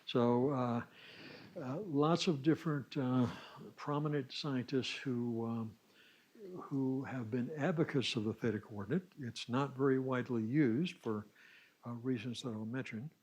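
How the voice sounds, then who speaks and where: A man's voice: medium pitch, steady tone, unhurried, a little mellow. A man giving a technical science lecture, explaining slides in a hesitant way with informal asides and self-corrections. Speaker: lecturer at a science summer school.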